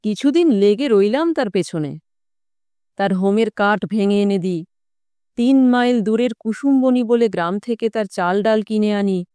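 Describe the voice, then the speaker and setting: A woman's voice: mid-range voice, lively intonation, measured pace, warm tone, clean tone, no echo, natural voice. Woman voicing a reflective occultist raconteur. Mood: reminiscing. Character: male tantric occultist recounting his wandering youth. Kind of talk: audiobook storytelling.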